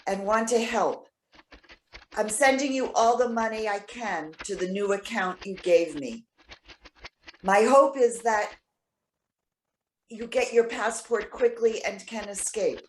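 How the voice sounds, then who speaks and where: A woman's voice: on the high side, slight background noise. A woman playing a lonely, proud elderly lady in love, in a staged play reading. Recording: echoey room.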